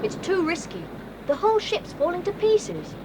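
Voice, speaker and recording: Woman playing a bossy earnest schoolboy leader, high and bouncy, loud tape hiss. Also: big pitch swings, moderate pace, gravelly, exaggerated voice. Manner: earnest, bossy, takes charge. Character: sensible boy, eldest leader of children. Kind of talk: 1980s audio drama acting. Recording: hissy old cassette tape.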